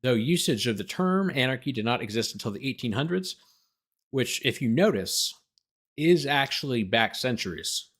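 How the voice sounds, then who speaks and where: Male lawyer-host, medium pitch, document read-aloud with dry, incredulous running commentary. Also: lively intonation, normal speed, fairly bright tone, husky. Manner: incredulous, sarcastic asides.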